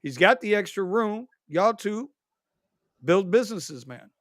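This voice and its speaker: A man hosting a casual livestream chat, reading viewer comments aloud in an animated way. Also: high-pitched, measured pace, no room sound, studio quality. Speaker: livestream host.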